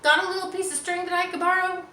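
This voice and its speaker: A woman voicing an eager, naive young male pig from a storybook, earnest and excitable. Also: very high-pitched, quick pace, voice pushed up. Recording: roomy echo, faint background noise.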